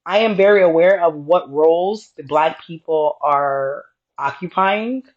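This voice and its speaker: A woman co-hosting a podcast in casual conversation, with a deeper voice and a reserved, straightforward way of talking. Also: a little slow, muffled recording. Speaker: podcast co-host.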